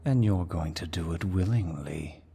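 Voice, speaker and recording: Man acting a very deep, gravelly demon lord. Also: warm tone, a little hiss or hum. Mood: possessive, coaxing. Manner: dominant, smug, slow and deliberate. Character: menacing, taunting male demon lord. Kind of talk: ASMR fantasy roleplay acting.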